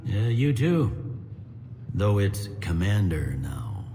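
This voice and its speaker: Deep, mellow, world-weary police commander. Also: male voice, big pitch swings, slow, exaggerated voice. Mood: cordial, awkward. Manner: blunt, informal. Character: gruff, world-weary male police commander, plain-spoken. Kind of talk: video game cutscene acting. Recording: faint ambient noise behind dialogue.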